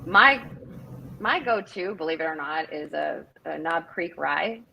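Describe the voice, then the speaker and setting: A middle-aged woman from northern Minnesota talks on a video call in a slightly low voice. She rambles casually and fills gaps with "um" and restarts, sounding easygoing and sincere. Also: a little slow, gravelly, muffled recording, slight background noise. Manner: chatty, rambling with fillers. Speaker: festival director, guest. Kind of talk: casual interview chat.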